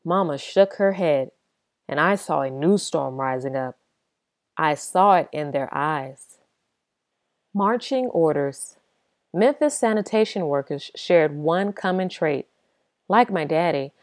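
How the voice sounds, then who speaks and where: Lively woman reading a picture book. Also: fairly low pitch, no echo, clean studio sound. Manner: lively, expressive storytelling with dramatic pauses. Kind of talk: expressive storybook reading.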